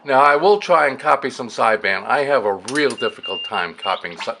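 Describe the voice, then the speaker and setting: A man's voice: medium pitch, animated, brisk. A man giving a casual, unscripted hands-on radio demonstration, explaining things and thinking aloud as he works. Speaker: amateur radio hobbyist demonstrating a receiver. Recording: clear background noise, radio static. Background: shortwave radio stations and static.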